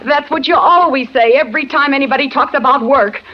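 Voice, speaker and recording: An actress playing a sarcastic, fed-up working wife who scolds and accuses, in a 1940s radio thriller. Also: female voice, high voice, fairly quick, lightly acted. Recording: muffled, noisy old radio recording.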